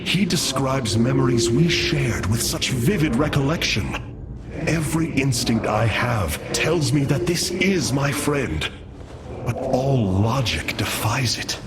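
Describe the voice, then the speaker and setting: An actor playing a noble alien leader who speaks in a formal, grave manner. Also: male voice, mid-range voice, animated, slow pace. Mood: reflective. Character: noble male alien leader, dignified and earnest. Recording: game audio with loud music. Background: game music.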